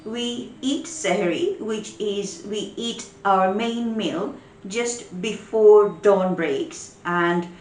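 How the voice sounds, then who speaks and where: A woman from Bangladesh hosting a home cooking show, explaining each step slowly and carefully. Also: mid-range voice, darkish tone.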